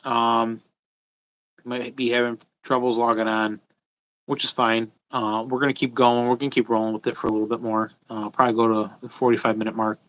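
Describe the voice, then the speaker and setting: A man's voice: mid-range voice, level tone, a little fast, a bit bright, rough recording. A man hosting a casual livestream off the cuff, speaking bluntly and with strong opinions, repeating his phrases for emphasis. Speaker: host of a livestream.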